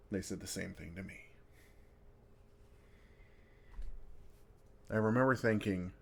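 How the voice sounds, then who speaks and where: A man playing a kind, wry older vampire who comforts a newcomer in an intimate, gentle ASMR roleplay, with dry humor and unhurried pauses. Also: low voice, gravelly, husky, heavily acted. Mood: gentle, reassuring.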